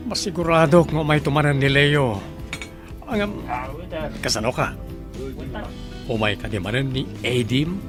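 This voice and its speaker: A woman's voice: very deep voice, animated, normal speed, long pauses, music in the background. A woman playing a cunning, bossy accomplice in a radio drama. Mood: urging.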